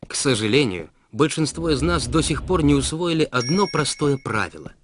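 A man's voice: a little high, lively intonation, normal speed, slight background noise. A man delivering scripted explainer narration in a didactic way, putting rhetorical questions straight to the listener. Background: background music.

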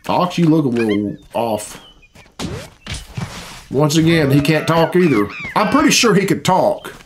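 A man's voice: on the high side, very animated, unhurried, background noise. A man in his thirties giving blunt, grumbling, profane off-the-cuff reaction commentary in a casual style. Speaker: reaction video host. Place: small furnished home room indoors. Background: game cutscene music.

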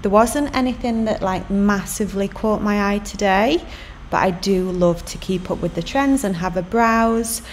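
A woman, narrating a fashion vlog in a relaxed, chatty way with little fillers. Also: medium pitch, moderate pace, slight background noise. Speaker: fashion vlogger. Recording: voice over background music.

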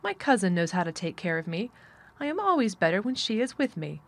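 A woman's voice: medium pitch, sing-song, a little fast. A woman voicing an imperious, spoiled young invalid boy in a storybook, speaking in a grand, haughty manner. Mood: lordly. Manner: haughty, magnificent, declarative.

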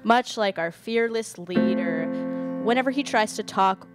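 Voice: mid-range voice, unhurried, loud music behind the voice, close to an everyday voice. A performer acting a grumpy, tough, sardonic superhero in a student radio comedy.

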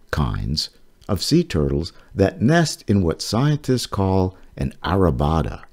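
A man with a husky voice reading a children's nonfiction book aloud in a measured, explanatory way. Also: low voice, dark tone.

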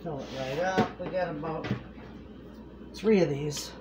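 A middle-aged woman talks slowly and homily to the camera while she works in her home kitchen, laughing easily. She's picked up by a camera across the room. The audio carries a noticeable hiss. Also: low-pitched. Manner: chatty, homey, laughs easily. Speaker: homestead cooking vlogger. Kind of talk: casual kitchen chat to camera.

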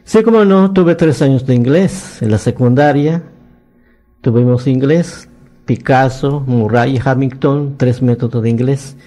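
A man in his nineties from Yucatán whose first language is Maya, speaking Spanish with a Yucatec accent as he slowly recounts his life story in a radio studio interview. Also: medium pitch, mellow. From Yucatán, Mexico. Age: 90s.

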